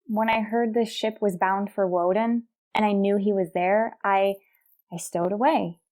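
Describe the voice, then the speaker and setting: A young woman playing a frightened, wistful stowaway in a radio drama, halting, pleading and emotional. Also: medium pitch, fairly even intonation, a little mellow, close to an everyday voice. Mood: wistful, resigned. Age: young adult.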